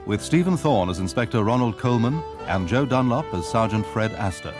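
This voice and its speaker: A man's voice: lowish voice, animated, fairly quick. A man announcing a radio drama in a scripted introduction. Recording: music under the voice.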